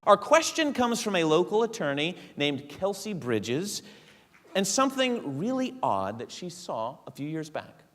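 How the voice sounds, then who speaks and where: Lively male host in a reverberant hall. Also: high voice, measured pace. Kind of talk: live stage show introduction. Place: theater stage, before an audience.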